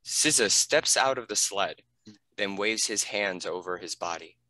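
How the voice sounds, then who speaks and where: Deep guy narrating a script, crisp forceful tone, dry close sound. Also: expressive, a little slow, dry recording, rough recording. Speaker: narrator reading stage directions.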